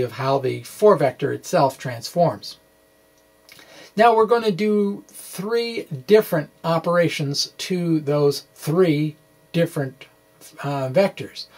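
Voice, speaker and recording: A middle-aged man explaining science from a blog in a halting, quirky, playfully dramatic way. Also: a little high, gravelly, darkish tone. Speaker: science blogger presenting puzzle answers. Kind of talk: semi-scripted explanation, reading a blog aloud. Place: small indoor room with bookshelves. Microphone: desk microphone in front of him.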